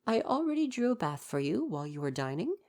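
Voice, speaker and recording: A man's voice: high voice, big pitch swings, fast, a little mellow. A man playing a devoted, proper royal butler in an ASMR roleplay, speaking to the listener in a formal, deferential, fussily attentive way. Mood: attentive, gently teasing.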